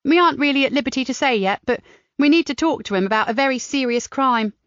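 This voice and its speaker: A woman voicing a polite, probing female police doctor who speaks brightly and briskly. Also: high-pitched, no room sound, pitched above the performer's own voice. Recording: slightly dull dry recording.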